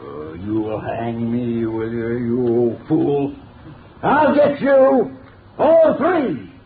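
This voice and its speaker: A man's voice: mid-range voice, big pitch swings, very slow, heavily acted. An actor playing a vengeful, defiant condemned killer in 1930s radio melodrama, snarling threats like a slangy tough guy. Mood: raging threats. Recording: tinny noisy 1930s radio recording.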